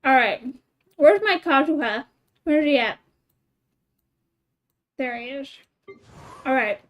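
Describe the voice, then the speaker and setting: A woman's voice: high voice, lively intonation, takes it slowly. A woman chatting casually as she streams a game, thinking aloud in short, playful remarks. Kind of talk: casual live gameplay chat.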